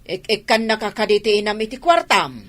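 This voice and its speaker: A woman's voice: medium pitch, very animated, a little fast, no echo, natural voice. A woman playing a scheming, gossipy elderly village grandmother with an Ilocano Filipino accent in a radio drama. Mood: evasive, muttering.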